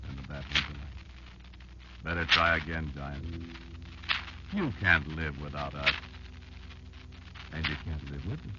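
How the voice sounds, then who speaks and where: An actor playing a menacing, gloating insect enemy of humankind in an old radio drama, with a taunting, deliberate delivery. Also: male voice, deep voice. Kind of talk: 1940s radio drama monologue. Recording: muffled, very noisy old radio recording.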